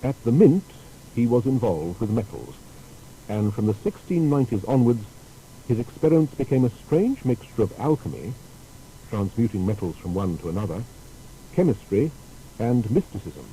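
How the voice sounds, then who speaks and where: A man's voice: medium pitch, normal speed, poor audio. A man narrating a scripted historical biography in a formal, storytelling manner. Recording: steady faint background noise.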